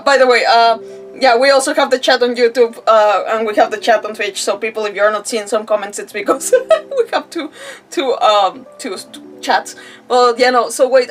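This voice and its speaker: Woman streamer, high voice, rambling casual chat, giggly, self-interrupting, slightly off-mic, faint music behind. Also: distant mic. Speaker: livestreamer, gamer host.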